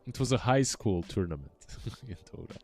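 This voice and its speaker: Low-voiced young male esports caster. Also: moderate pace. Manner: relaxed, chatty, trails off often. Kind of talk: casual esports commentary.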